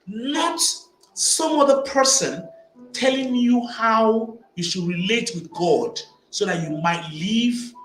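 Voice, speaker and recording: Man from Nigeria, high-pitched, emphatic vlogger ranting to camera; echoey room. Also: a bit bright. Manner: emphatic, repeats phrases while building arguments. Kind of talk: opinionated commentary to camera. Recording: echoey room with faint ambient noise.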